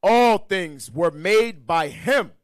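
Male scripture reader, slightly high voice, projecting each verse loudly with drawn-out, declaimed phrasing. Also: lively intonation. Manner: loud, declamatory, drawn-out delivery. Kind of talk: reading scripture aloud.

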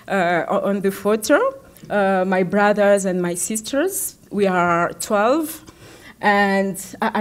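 A young woman from the Democratic Republic of Congo giving a talk at a podium in a conference room, pausing often with fillers and repeating words. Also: medium pitch. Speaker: activist speaker. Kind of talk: presentation at a podium. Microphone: podium microphone.

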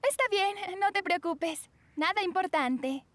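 A woman's voice: extremely high pitch, measured pace, fairly bright tone, a little hiss or hum. A woman voicing a bossy, scheming, whiny teenage schoolgirl in a cartoon, dramatic and demanding. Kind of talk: animated cartoon dub acting.

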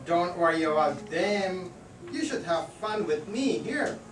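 A woman's voice: fairly low pitch, expressive, unhurried. Woman playing an echoey, eager papa bear. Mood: eager, encouraging. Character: eager, encouraging father bear puppet. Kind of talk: children's puppet show acting. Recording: echoey, noisy room from far off.

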